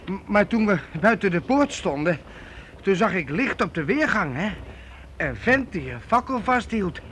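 An actor playing a watchful young lad in a radio play, speaking haltingly and urgently with small stammers. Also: male voice, high-pitched, big pitch swings, a bit bright, slight background noise. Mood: uneasy, suspicious. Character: uneasy, watchful young lad among the wanderers. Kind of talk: radio drama acting.

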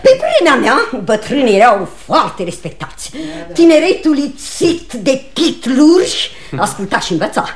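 Haughty old lady, high and swooping. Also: sing-song, few pauses, reverberant, a crowd in the background. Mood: offended. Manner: pompous, moralizing. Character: haughty elderly provincial lady, indignant and old-fashioned. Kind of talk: theatrical radio comedy acting.

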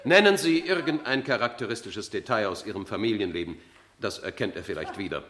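An actor in a radio play playing a brisk, insistent official with a formal, impatient manner. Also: male voice, lowish voice, animated, a bit bright. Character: brisk, insistent male official running an identification. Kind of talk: German radio play acting.

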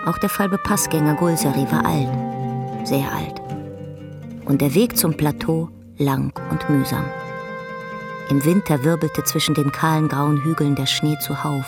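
A solemn storyteller in a radio drama. Her voice has noticeable fry and a measured delivery. Soft music plays faintly behind her. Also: sits low, fairly even intonation. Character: solemn storyteller of an old man and horse. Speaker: narrator. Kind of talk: literary radio play narration.

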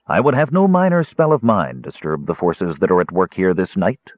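A man voicing a stern, solemn mage in an audiobook, giving soft, measured and commanding instructions. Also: low voice, very animated, breathy edge, no room sound, narrow, tinny audio, exaggerated voice. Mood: hushed awe. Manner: soft, measured, hushed orders.

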